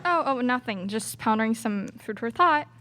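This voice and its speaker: A woman's voice: fairly high pitch, expressive, normal speed, a bit bright. A young woman acting a secretly murderous, scheming student in an amateur radio play. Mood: casual. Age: young adult.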